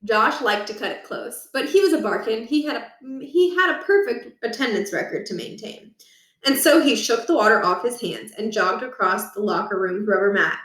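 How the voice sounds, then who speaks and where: A woman reads a children's story in a bright, fairly high voice. Her delivery is expressive and deliberately emphasised, recorded in a roomy space a little away from the mic. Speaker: read-aloud narrator. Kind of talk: scripted children's book narration. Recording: roomy echo, distant from the mic.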